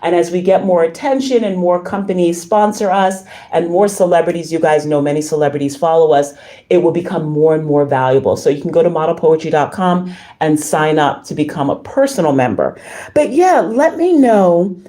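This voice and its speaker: A slightly hoarse woman hosting a casual livestream, reading and answering viewer comments in a halting, effusive way. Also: on the low side, animated, warm tone. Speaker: livestream host.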